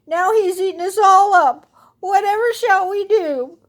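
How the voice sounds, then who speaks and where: A woman's voice: very high voice, measured pace, voice pushed up. A woman voicing young storybook goat kids in a childlike, earnest and emphatic way. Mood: tearful pleading.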